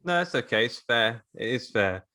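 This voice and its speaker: A man's voice: medium pitch, animated, moderate pace, sharp tone. A man chatting casually as a podcast panelist in an easygoing way. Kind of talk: casual chat.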